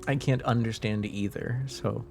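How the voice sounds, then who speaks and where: A man whose first language is French, chatting casually to livestream viewers in a hesitant, halting way, sounding slightly under the weather. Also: mid-range voice, fairly even intonation, gravelly, a little mellow. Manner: hesitant, halting, often trailing off. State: slightly under the weather, deeper than usual, clearing throat. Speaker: live streamer, host. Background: faint music.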